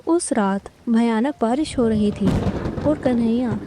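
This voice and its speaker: A woman narrating a scripted moral story in a measured, dramatic storytelling manner. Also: on the high side, dark tone.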